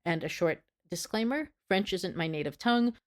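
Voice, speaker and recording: A woman with a low-pitched voice reads a prepared history lesson. She speaks earnestly and drops in small apologetic asides. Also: lively intonation, moderate pace. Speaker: history video creator. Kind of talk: scripted educational narration.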